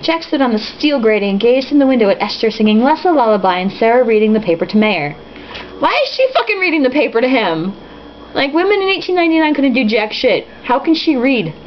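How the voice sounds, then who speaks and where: A woman's voice: on the high side, very animated, quick pace. Sarcastic woman reading aloud, lo-fi sound. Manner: sarcastic, foul-mouthed, giggly; tipsy drinking-game reader. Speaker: host reading a novel aloud with mocking commentary. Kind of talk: casual comic read-aloud with sarcastic asides. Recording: dull lo-fi with faint hiss.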